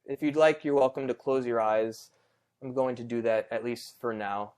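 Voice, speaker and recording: Male meditation guide, medium pitch, smooth and clear. Conversational, reassuring, takes his time. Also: fairly even intonation. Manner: gentle, reassuring, unhurried with long pauses.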